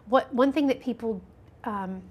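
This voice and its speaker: A woman's voice: a little high, a little slow, breathy edge, dull audio. A woman nurse practitioner answering interview questions about allergies on a health show, explaining with frequent ums and restarts.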